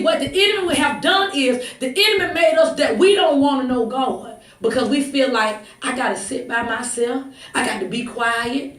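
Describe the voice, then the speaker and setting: Echoey, high-voiced woman preaching emphatically. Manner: exhortatory, emphatic, swings from hushed asides to shouts. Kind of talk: fervent sermon with scripture reading and conversational asides. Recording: echoey room, distant mic, faint noise.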